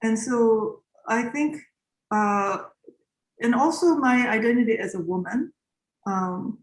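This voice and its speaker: A Korean-born woman composer in her forties, a native Korean speaker, answering interview questions in a reflective way with many pauses and fillers. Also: a little high, echoey room, poor audio.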